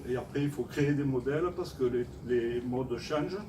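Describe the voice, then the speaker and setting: An older man from France speaks as an award-winning craftsman, in a plain, modest way that halts while he searches for words. His voice sits back from the microphone in a reverberant hall. Clear background noise runs under him. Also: medium pitch, brisk. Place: large event hall. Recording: echoey hall, far from mic, background noise.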